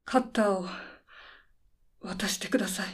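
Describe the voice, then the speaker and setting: A woman's voice: medium pitch, normal speed, husky. A woman playing a composed, firm female company head with formal, businesslike speech in a radio drama. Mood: tense, subdued. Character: composed female head of a deadline-management service, losing patience. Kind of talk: radio drama dialogue acting.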